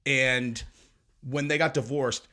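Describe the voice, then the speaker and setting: A young man co-hosting a casual podcast, his voice sitting at an ordinary middle pitch. He tells his story in a loose, rambling way, swearing freely and leaning on fillers. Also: fairly even intonation, slow, bright, forceful tone. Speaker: podcast co-host. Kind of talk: casual chat.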